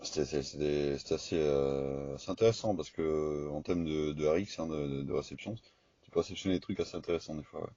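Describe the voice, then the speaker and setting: Very deep-voiced man chatting over radio. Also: fairly even intonation, mellow. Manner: halting, informal, slangy. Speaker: amateur radio operator. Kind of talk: casual radio contact chat. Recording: dull, echoey two-way radio recording.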